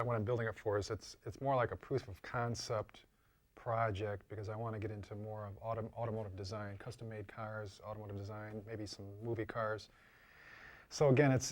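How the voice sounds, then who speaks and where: A man being interviewed as a costume and prop maker, explaining informally and often repeating and rephrasing himself. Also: lowish voice.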